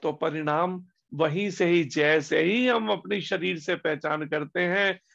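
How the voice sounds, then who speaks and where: A man giving a devotional discourse as a spiritual teacher, slowing down on key words and asking rhetorical questions. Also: on the high side, creaky, a bit bright. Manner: slow, deliberate emphasis with pauses on key words. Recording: dull lo-fi recording.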